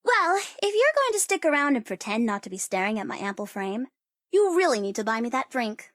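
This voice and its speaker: High-pitched, sassy young sorceress. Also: female voice, sing-song, fairly quick, a bit bright, no room sound, studio quality. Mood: wry, friendly. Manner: sassy, playful, teasing, theatrical. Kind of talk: video game character voice lines.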